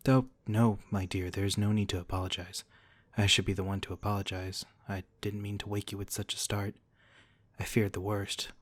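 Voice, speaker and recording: A man playing a courteous, protective centuries-old vampire lord in an ASMR roleplay, speaking formally and gently to the listener with polite apology. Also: fairly low pitch, no room sound, close to an everyday voice. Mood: apologetic, caring.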